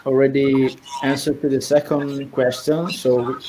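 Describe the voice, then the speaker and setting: Mellow-voiced hesitant host. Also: male voice, mid-range voice, level tone, slight background noise, rough recording. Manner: hesitant, halting phrasing. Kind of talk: live stream interview.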